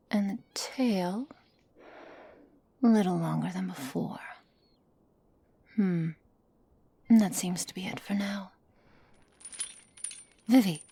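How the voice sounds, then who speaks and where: A cold, menacing witch, played by a woman in an ASMR roleplay, slow and measured. Her voice is breathy and often whispery, a little low for a woman. She sounds clinical, strict and condescending, recorded close and dry. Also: mellow, no room sound, heavily acted. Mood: clinical musing, then gently doting. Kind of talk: ASMR roleplay, scripted acting to listener.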